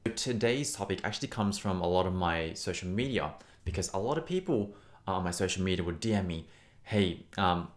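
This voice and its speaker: A young man who teaches singing, talking to camera in an earnest, encouraging way and often repeating words for emphasis. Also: fairly low pitch. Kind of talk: casual teaching vlog. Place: Australia.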